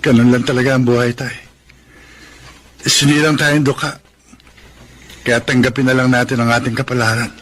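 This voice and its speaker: A man's voice: medium pitch, flat delivery, normal speed, long pauses, fairly bright tone, lightly acted. Man acting a melodramatic young Filipino farmer, heartbroken. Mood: earnest, resigned. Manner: melodramatic, earnest, self-pitying. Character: poor, heartbroken, proud young farmer, jealous lover. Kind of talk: Filipino radio drama acting. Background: background music.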